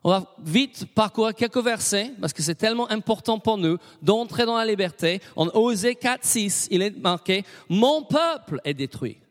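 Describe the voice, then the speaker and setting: A man's voice: high voice, expressive, normal speed, few pauses, big-room sound. A middle-aged British man, a native English speaker with an English accent, preaching a sermon and leading the congregation in call-and-response with frequent amens. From Britain. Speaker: pastor.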